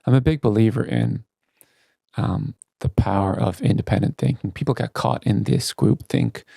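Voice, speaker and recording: A man's voice: fairly low pitch, normal speed, creaky, warm tone, no room sound, studio quality. A man speaking as a CEO guest in a candid podcast interview, earnest and emphatic about his opinions.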